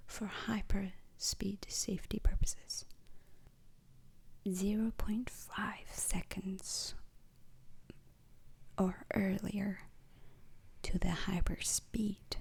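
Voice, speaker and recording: A woman whispering an ASMR roleplay as a calm, formal instructor, deliberate and pausing between short phrases. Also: medium pitch. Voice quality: breathy, unvoiced whisper. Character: calm, formal instructor briefing space crew trainees.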